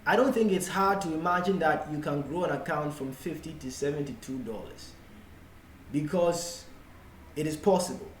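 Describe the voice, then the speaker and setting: A man with a Ghanaian accent giving an unscripted explanatory tutorial in a deliberate manner, in short broken phrases. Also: a little high. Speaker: forex trading tutor. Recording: echoey room with faint background noise.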